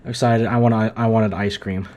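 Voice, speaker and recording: A woman's voice: very deep voice, fairly even intonation, moderate pace, a little mellow. A woman live-streaming a game, casually commenting and reading along with the dialogue. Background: game music.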